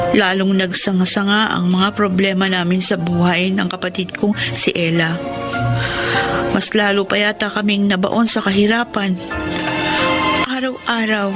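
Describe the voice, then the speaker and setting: A woman's voice: mid-range voice, natural voice. A woman playing an earnest orphaned older sister who narrates her own radio drama story. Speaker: narrator and lead character. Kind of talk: Filipino radio drama acting. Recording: strong background music.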